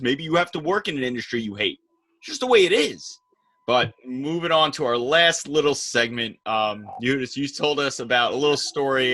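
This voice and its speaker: A man's voice: mid-range voice, pushed and bright. A man co-hosting a political podcast, talking bluntly and sarcastically in a lecturing way. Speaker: podcast co-host. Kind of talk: casual political chat.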